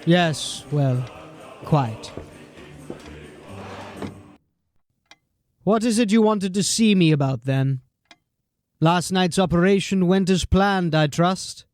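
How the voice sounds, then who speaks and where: An actor playing a haughty, pompous captain in an audio drama who talks in a lecturing way. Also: male voice, high-pitched, expressive, slow, darkish tone. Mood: impatient. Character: haughty, pompous captain, middle-aged man.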